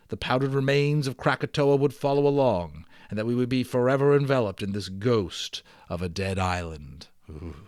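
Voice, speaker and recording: Male storyteller, mid-pitched, expressive and enthusiastic, amateur read-aloud of a children's novel with chatty asides, dry recording. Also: no room sound. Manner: expressive, enthusiastic storyteller, emphasizes and draws out words. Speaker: amateur audiobook reader.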